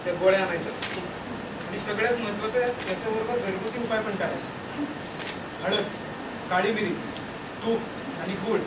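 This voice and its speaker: Casting: male actor as grave, instructive country vet, high-pitched male voice, stage play, distant mic with clear background noise. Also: moderate pace, long pauses, echoey room, close to an everyday voice. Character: earnest village veterinary doctor, explaining gravely. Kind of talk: amateur Marathi stage drama acting.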